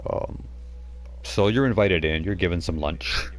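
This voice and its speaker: A man's voice: low-pitched, measured pace. A man narrating a tabletop game as game master, rambling with many fillers and restarts.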